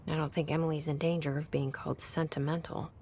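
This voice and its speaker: A woman's voice: sits low, little pitch movement, fast, darkish tone, slight background noise. An actress playing a brooding woman director's private thoughts in a video game, introspective, restrained and dry. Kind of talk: inner monologue narration for a video game. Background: clear music.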